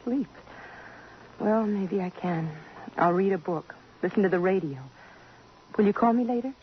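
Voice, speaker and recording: A woman's voice: mid-range voice, unhurried, natural voice. An actress playing an anxious, guilt-ridden, refined young woman in a 1950s radio drama, speaking earnestly. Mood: worried, tender. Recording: muffled, faintly noisy 1950s radio broadcast.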